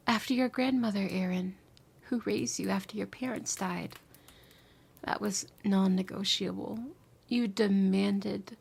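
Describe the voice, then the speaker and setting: A woman acting a lovesick, obsessive former bully back from the future in an intimate ASMR roleplay, speaking in an emotional, tender, halting way with a breathy voice that often drops to a whisper. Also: medium pitch, darkish tone, exaggerated voice. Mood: wistful, hushed.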